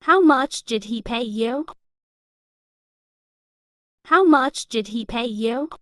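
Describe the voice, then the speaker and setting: A woman reading an English lesson, saying each practice phrase twice in a slow, even way. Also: on the high side, no echo, studio quality.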